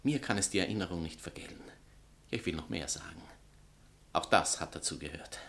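A man's voice: low voice, lively intonation, a little fast, a bit bright, whispered. An actor playing a vain, scornful male writer and spurned lover in a satirical stage comedy, pompous and declamatory. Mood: grandiose, reproachful. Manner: pompous, theatrical, declamatory, swinging from outbursts to sneers. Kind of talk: theatrical satirical comedy acting.

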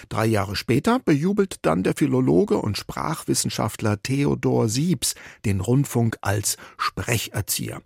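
Gravelly man narrating a radio feature. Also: mid-range voice, a little mellow, dry recording, clean studio sound. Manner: clear, friendly storytelling delivery. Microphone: studio microphone.

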